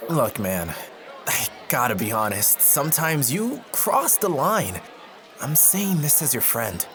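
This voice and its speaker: A man's voice: mid-range voice, very animated, slow pace, a crowd in the background. A man playing an easygoing young college student in an audio drama, talking in slangy bro speak to his best friend. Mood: earnest. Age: young adult. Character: easygoing, food-loving college guy, loyal blunt best friend.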